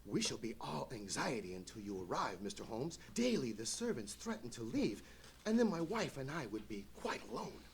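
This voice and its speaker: Worried lord, formal, fretful, very varied pitch, radio drama, faint stage noise. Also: male voice, sing-song, normal speed, creaky. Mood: anxious, grateful. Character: anxious English lord plagued by hauntings. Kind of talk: old-time radio mystery play. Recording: slightly noisy live stage recording.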